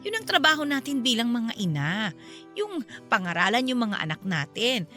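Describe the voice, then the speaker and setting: A woman's voice: a little high, big pitch swings, normal speed, bright, forceful tone, faint music behind. A woman playing a frank, feisty middle-aged Filipino mother and forgiving best friend in a radio drama. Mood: frank, advising.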